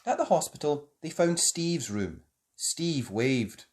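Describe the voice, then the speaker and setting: A storyteller reading a children's picture book aloud in an expressive, deliberate way for young listeners. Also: medium pitch.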